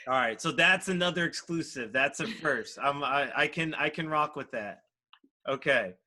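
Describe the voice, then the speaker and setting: A man's voice: a little high, normal speed, sharp tone. A man hosting a podcast, asking questions in a casual, joking way. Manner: easygoing, joking. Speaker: podcast host.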